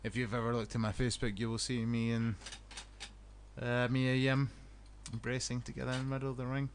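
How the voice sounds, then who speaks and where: A man's voice: mid-range voice, flat delivery, fairly quick, a little hiss or hum. A man co-hosting a wrestling podcast, chatting in a blunt, playful, sweary way. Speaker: podcast co-host. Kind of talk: casual wrestling chat.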